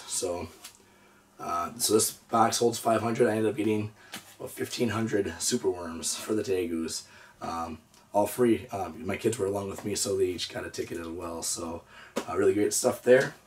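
Hoarse man, 30s, relaxed reptile-channel host, lowish voice, mic at a distance. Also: normal speed, slight background noise. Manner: easygoing, lots of "uh" and "so". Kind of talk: casual show-and-tell vlog. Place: indoor reptile room with storage drawers.

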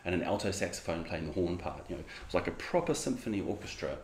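A middle-aged man with a New Zealand accent and a fairly low voice, lecturing informally. He talks conversationally and haltingly, circling back to fix his phrasing. The sound is roomy and echoing, as if in a small room at home. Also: lively intonation, slight background noise. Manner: conversational, halting, self-correcting. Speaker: clarinetist giving a lecture. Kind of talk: informal lecture with personal anecdotes.

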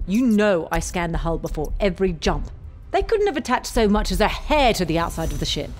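A woman's voice: lowish voice, very animated, fairly quick, darkish tone, faint music behind. A woman playing a feisty, technically minded spaceship crew member in a sci-fi audio drama. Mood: frustrated, focused.

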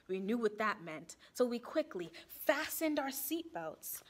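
A young woman reading a picture book aloud to children in an expressive storytime style, pausing to put questions to them. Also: medium pitch. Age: young adult. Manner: animated, asks the children questions.

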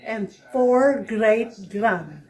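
An older woman with a Filipino accent, a grandmother, giving short soft-spoken answers at home, picked up by a phone camera. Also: a little high, lively intonation, extremely slow, low-quality recording. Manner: brief, soft-spoken replies. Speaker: homeowner and grandmother. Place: indoors at her home.

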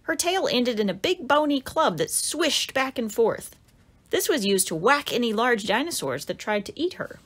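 A woman narrates a children's picture book in a fairly high voice. Her reading is lively and expressive, with pitch that swings widely. She leans into dramatic exclamations as she tells the story to young listeners. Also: moderate pace.

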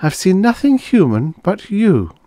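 Man voicing a proud, guarded woman, pitched up. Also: huge pitch swings, measured pace, mellow, no room sound, full character voice. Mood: measured. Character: proud, guarded foreign woman scientist, coldly defiant.

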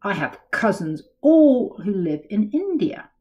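A woman voicing a big, wise male elephant in a children's storybook, speaking in a slow, measured, rumbling way. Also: sing-song, highs cut off. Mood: calm. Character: huge wise male elephant, calm, proud.

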